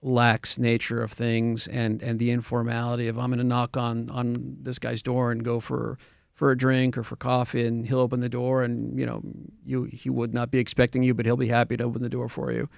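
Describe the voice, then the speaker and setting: A man from Argentina speaking as a guest on a radio show. His delivery is flat and steady, his voice gravelly, and he explains things carefully, dropping ums and uhs along the way. The sound is dry and close, with no room echo. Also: medium pitch, a little fast. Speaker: guest, real estate broker. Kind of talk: radio interview answers.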